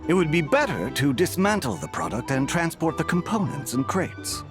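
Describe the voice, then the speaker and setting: A man playing a wily, guarded smuggler in a video game, with a sly, expressive delivery. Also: on the high side, moderate pace, over music, natural voice. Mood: haggling. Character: wily, guarded male smuggler haggling over deals.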